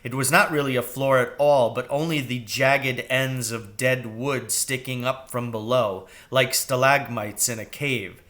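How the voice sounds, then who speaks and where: Bright-voiced man reading slowly. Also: medium pitch, steady tone. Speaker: reader, teacher. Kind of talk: storybook narration read aloud.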